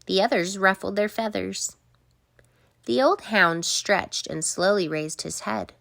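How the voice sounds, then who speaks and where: A woman reading a children's storybook aloud as an expressive, deliberate narrator. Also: medium pitch, creaky, no room sound, clean studio sound. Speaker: children's book reader.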